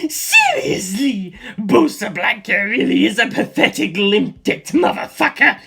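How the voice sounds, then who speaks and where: A reader voicing a bratty male zombie villain who screeches and shouts insults in an audiobook. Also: medium pitch, sing-song, unhurried, creaky, husky, pitched above the performer's own voice, exaggerated voice. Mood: shrieking rage. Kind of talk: audiobook character voice.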